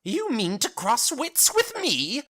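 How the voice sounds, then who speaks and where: Screeching, high-pitched zealot preacher. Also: male voice, huge pitch swings, slow pace, bright, forceful tone, full character voice. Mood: haughty anger. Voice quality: shrill, booming screech. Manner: ranting, haughty. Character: screeching, haughty, raging young male zealot preacher. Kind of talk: audio drama acting.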